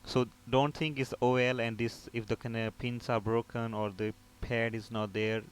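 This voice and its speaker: A man's voice: medium pitch, flat delivery, normal speed. A man giving an informal step-by-step technical tutorial, often asking a question and then answering it himself. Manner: explanatory, poses and answers own questions. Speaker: repair technician instructor. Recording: clear background noise.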